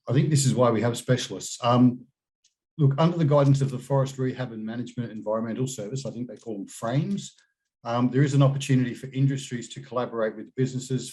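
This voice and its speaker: A man's voice: mid-range voice, darkish tone, rough recording. A man giving his answer as a candidate at an online forum. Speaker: election candidate. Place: online video call.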